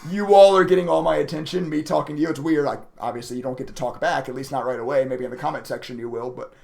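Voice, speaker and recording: A man with a raspy voice chatting casually to viewers as a livestreaming day trader, emotive and talkative. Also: mid-range voice, fairly even intonation, fast talker, a little mellow. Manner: chatty, emotive, laughs often. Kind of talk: casual live stream commentary to viewers.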